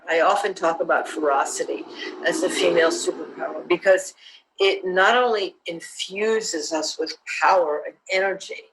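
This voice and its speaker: A woman and self-defense teacher, speaking as a guest in an online interview in an earnest, measured, motivational way. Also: on the low side, fairly bright tone, a little hiss or hum, low-quality recording.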